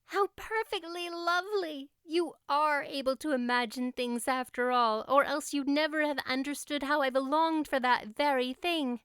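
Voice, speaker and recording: A woman's voice: high-pitched, unhurried, hardly any pauses, a bit bright, no room sound, clean studio sound, voice pushed up. A woman voicing a dreamy, talkative young girl in an audiobook, gushing with breathless enthusiasm. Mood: excited, delighted. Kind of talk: audiobook character voice.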